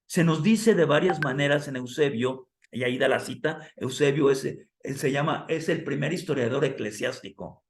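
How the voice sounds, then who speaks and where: A hoarse man teaching a theology class. He asks the students questions and calls them "amados" like a preacher would. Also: medium pitch, normal speed, few pauses, roomy sound. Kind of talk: classroom lecture with preacher cadence.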